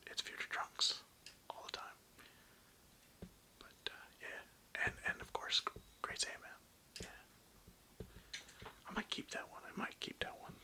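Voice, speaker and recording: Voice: slow pace, pauses often, sharp tone. A person whispering a casual, slangy, nostalgic ASMR ramble in a hesitant, meandering way, close to the microphone. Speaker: ASMR video creator.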